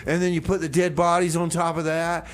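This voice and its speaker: A man's voice: fairly high pitch, little pitch movement, fairly quick, natural voice. A man playing an eager, stubborn doomsday survivor and radio host in a casual comedy show, rambling and repeating himself. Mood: eager, insistent.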